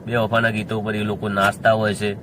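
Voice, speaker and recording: A man's voice: on the low side, monotone, brisk, a bit bright. Defiant North Gujarati man over background noise. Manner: defiant, insistent, repetitive. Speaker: singer addressing community critics. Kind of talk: informal spoken address to viewers.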